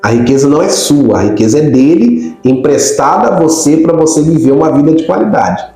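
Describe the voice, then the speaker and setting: A man's voice: a little high, lively intonation, brisk, mellow. A middle-aged Brazilian man from Rio de Janeiro state, a pastor explaining things conversationally as an interview guest. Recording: very echoey room, faint music.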